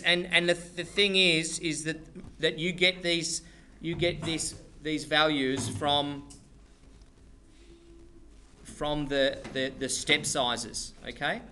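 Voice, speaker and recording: A man's voice: a little high, fairly even intonation, slow, pushed and bright, slight background noise. A male maths teacher giving a classroom lesson, chatty and playful, rambling with restarts and joking asides.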